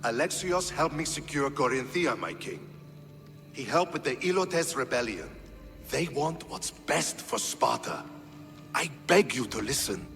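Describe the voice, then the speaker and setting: Earnest, forceful Spartan soldier. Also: male voice, medium pitch, animated, measured pace, creaky, soft background music. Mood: respectful, pleading. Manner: respectful, earnest. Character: loyal, diplomatic Spartan soldier, earnest and steady. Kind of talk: video game cutscene acting.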